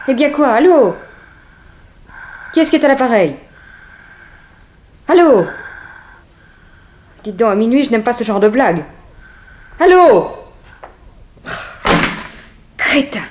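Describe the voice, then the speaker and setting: A woman's voice: fairly high pitch, lively intonation, takes it slowly, long pauses, darkish tone. A woman playing a frightened, nervous young fashion model in a 1960s French radio drama. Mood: irritated, uneasy. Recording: noisy 1960s radio recording.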